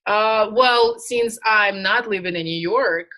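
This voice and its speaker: A woman's voice: medium pitch, slow. A young woman from Russia, a native Russian speaker, co-hosting a casual podcast and chatting frankly and animatedly. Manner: frank, animated, laughs easily. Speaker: podcast co-host.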